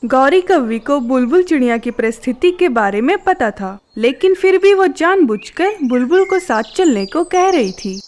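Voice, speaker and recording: A woman's voice: high voice, lively intonation, normal speed, few pauses, a little mellow, slight background noise. A woman reading scripted narration for a children's cartoon story.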